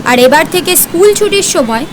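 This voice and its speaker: A woman's voice: very high-pitched, animated, normal speed, noisy background. A woman playing a strict, protective mother in an audio story, with a stern, commanding way of talking. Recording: music playing under the voice.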